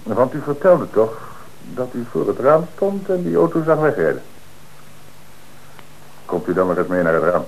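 Measured, ironic police inspector, animated intonation, radio play. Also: male voice, mid-range voice, rough recording. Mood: accusing. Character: calm, dryly ironic male police inspector. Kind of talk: radio drama acting.

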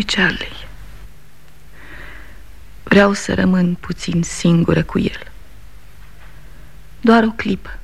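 An actress playing a grieving middle-aged widow in a 1960s radio drama, speaking in a hushed, breathy whisper. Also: female voice, fairly low pitch, full character voice, rough recording.

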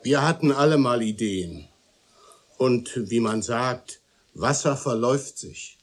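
Husky, slow-spoken skeptical gentleman. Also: medium pitch, animated. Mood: resigned. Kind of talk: German radio drama acting.

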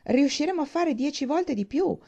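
A pushy, boastful young gentleman from a storybook, acted out by a woman narrator. He blusters and gives orders emphatically, pitched up with excitement. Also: a little fast, muffled recording. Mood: urgent, bossy. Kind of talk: audiobook character dialogue.

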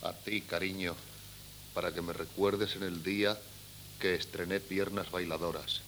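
Radio play casting: low-voiced father and husband, dry, resigned, fond, on old cassette. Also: level tone, measured pace, fairly bright tone, a little hiss or hum, low-quality recording. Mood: wry. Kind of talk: 1970s radio drama acting.

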